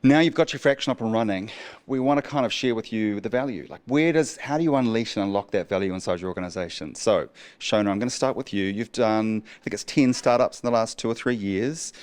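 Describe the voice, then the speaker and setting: A man's voice: fairly low pitch, fairly quick, darkish tone, smooth. A man moderating a panel discussion, putting questions to the speakers in turn.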